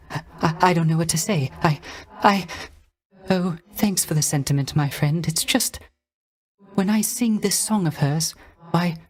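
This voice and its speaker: A man playing a gentle, melancholy dead musician in a video game, with a soft, light voice and wistful, courtly, hesitant phrasing. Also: a little high. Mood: moved, hesitant. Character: gentle, melancholy dead male musician mourning lost love. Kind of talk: theatrical video game character acting.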